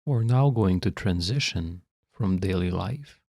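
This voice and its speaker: A man leading a guided meditation as a guide, speaking in a calm, measured, instructional way with long pauses. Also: sits low, vocal fry, no echo, studio quality.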